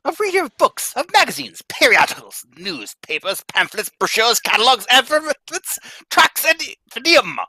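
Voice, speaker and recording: A man's voice: very high-pitched, very animated, normal speed, hardly any pauses, sharp tone, husky, dry recording, heavily acted, poor audio. A man reading the part of a stern, pompous bank president in a live radio-play reading, lecturing emphatically. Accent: American. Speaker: live script reader.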